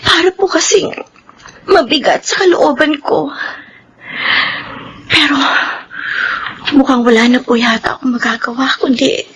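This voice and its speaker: Anguished young mom in radio drama, really high voice, emotional, faint noise. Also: female voice, measured pace, pauses often, fairly bright tone, rough recording. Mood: troubled. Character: poor, anguished young pregnant granddaughter, devoted, defiant mother.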